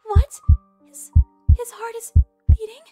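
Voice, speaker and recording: Tiny, timid, very high-pitched pony. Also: female voice, flat delivery, takes it slowly, phone-quality sound, over music. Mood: anxious, hopeful. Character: gentle, timid, heartbroken female cartoon pony.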